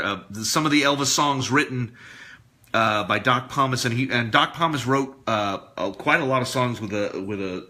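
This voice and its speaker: A man presenting a live radio show, talking casually and a bit haltingly through history notes. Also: medium pitch, pushed and bright.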